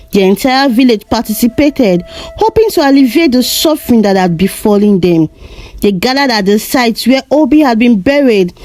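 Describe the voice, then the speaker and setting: High-voiced woman narrating a solemn folktale. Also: a little mellow. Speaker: storyteller, narrator.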